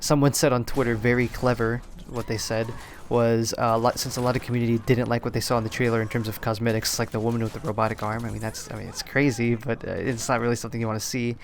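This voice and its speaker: A slightly hoarse man giving casual solo commentary on a video game reveal, rambling, often correcting himself and admitting he is unsure. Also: medium pitch, level tone, quick pace, slight background noise. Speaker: gaming commentator.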